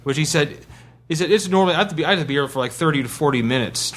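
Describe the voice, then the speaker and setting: Male podcast co-host, slightly high voice, informal storyteller who starts and restarts phrases. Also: animated, rapid-fire, fairly bright tone. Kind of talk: casual anecdote telling.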